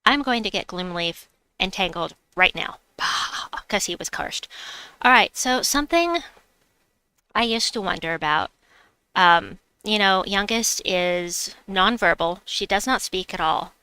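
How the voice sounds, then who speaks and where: A woman's voice: mid-range voice, unhurried, bright, forceful tone, clear, resonant tone, no echo, rough recording. A woman with a slight New York accent, streaming a game and chatting with her viewers as she plays. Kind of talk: casual live-stream chat while gaming.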